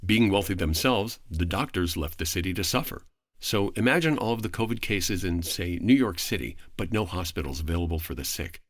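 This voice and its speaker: A man speaking as a podcast guest, giving a prepared, articulate explanation in formal, measured phrasing. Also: low voice, expressive, no room sound, clean studio sound.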